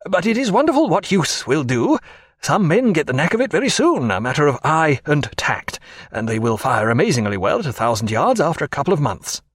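A man's voice: on the high side, very animated, a little fast, husky, dry recording, studio quality, voice pushed up. A man voicing an earnest male naval gunnery officer.

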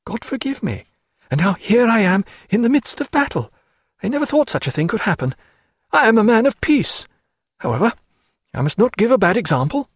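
A man voicing a timid, fussy ship's chaplain who speaks in a high, fluttering, anxious voice. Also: sing-song, brisk, breathy edge, no room sound, studio quality, exaggerated voice. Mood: fretful.

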